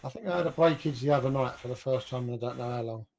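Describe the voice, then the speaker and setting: A friendly man joins a stream chat. His voice is mid-pitched and warm, and he talks easily with the others. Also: rapid-fire, creaky, a little hiss or hum. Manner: friendly, says "mate". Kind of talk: casual chat.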